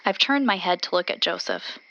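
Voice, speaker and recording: A woman's voice: lowish voice, wildly swooping pitch, a little fast, pushed and bright, muffled recording, full character voice. A woman acting as an earnest, observant radio reporter who narrates events into her recorder in an audio drama. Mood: calm, reflective. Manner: descriptive, observant, speaking into a recorder.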